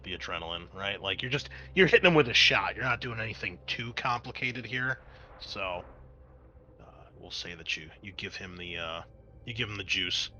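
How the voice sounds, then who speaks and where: A man's voice: lowish voice, expressive, moderate pace, bright, forceful tone, slight background noise. A man working as a game master, narrating a tabletop role-playing game in a conversational, improvised way.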